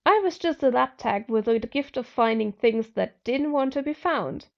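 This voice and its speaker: A woman's voice: a little high, expressive, moderate pace, creaky, highs cut off. A woman narrating first-person fiction expressively as an amateur audiobook reader.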